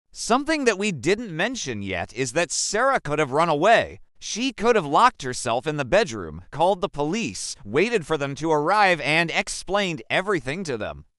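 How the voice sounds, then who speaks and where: Man, scripted narration, lively pitch, smooth clear voice, dry close mic. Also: dry recording. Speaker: commentator.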